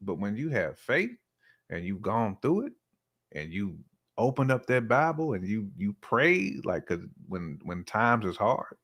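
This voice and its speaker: A man with a deep voice chats casually as a podcast guest. His delivery is colloquial and emphatic, and he feels his way toward the right words. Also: big pitch swings, unhurried, fairly bright tone. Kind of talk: casual conversational chat.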